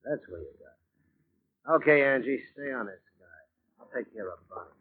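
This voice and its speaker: An American man playing a sneering, bossy gangster in 1940s radio crime drama, husky, slangy and threatening. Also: mid-range voice, animated, normal speed. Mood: menacing, sneering. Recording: tinny, narrow-band old radio recording.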